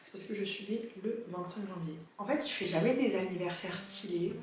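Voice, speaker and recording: A young woman vlogging as an au pair, chatting in a lively, slangy way. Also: mid-range voice, brisk. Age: young adult. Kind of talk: casual chat. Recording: very echoey room with music.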